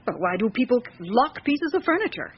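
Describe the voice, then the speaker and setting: A high male voice as a guarded, evasive witness in an old radio mystery. He answers hesitantly and defensively. The sound is dull, with some noise behind it. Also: big pitch swings, fairly quick. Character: guarded, evasive household witness under questioning. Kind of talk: classic radio drama acting. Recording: muffled, noisy vintage radio recording.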